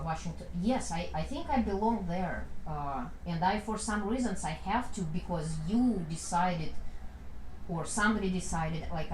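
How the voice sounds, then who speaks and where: A woman's voice: lowish voice, noisy background. Hesitant woman. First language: Russian. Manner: halting, hesitant, many restarts. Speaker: psychodrama protagonist. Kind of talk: emotional role-play exploration. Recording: roomy echo.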